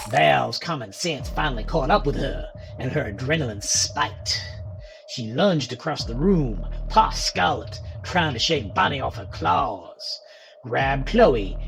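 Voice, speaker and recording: A man's voice: mid-range voice, vocal fry, low-quality recording. A man narrating a scripted horror story in a dramatic style. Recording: music underneath.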